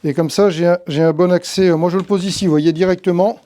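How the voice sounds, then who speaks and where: A man's voice: high-pitched, fairly even intonation, fast, mellow. A man giving an informal hands-on tutorial, explaining each step conversationally and thinking aloud as he handles the gear. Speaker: tutorial host, tree-work professional.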